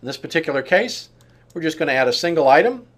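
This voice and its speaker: A man narrating an on-screen software demonstration, explaining each step in turn. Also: a little high, sing-song, fast. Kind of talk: walkthrough tutorial narration of on-screen steps.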